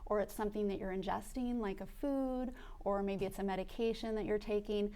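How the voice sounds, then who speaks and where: A woman's voice: mid-range voice, steady tone, fairly quick, dark tone. A woman who runs an allergy department, explaining methodically as a guest in a radio studio. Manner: clear, methodical, lists things step by step.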